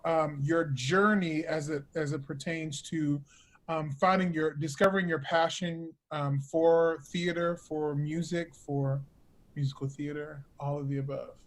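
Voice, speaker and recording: A man conducts a relaxed interview as host. His voice is quite high for a man and his pace is slow. He halts and searches for phrasing. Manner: halting, searching for words. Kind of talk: casual interview.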